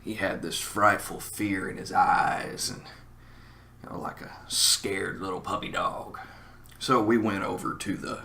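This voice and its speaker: A man's voice: sits low, creaky, bright, forceful tone, slight background noise. A man with a Southern US accent telling a casual comic anecdote in a wry, self-mocking, wordy way. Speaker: storyteller, show host.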